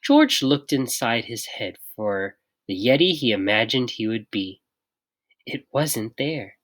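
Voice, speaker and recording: A reader giving an expressive, unhurried children's picture book read-aloud with dramatic pauses. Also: mid-range voice. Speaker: storybook reader.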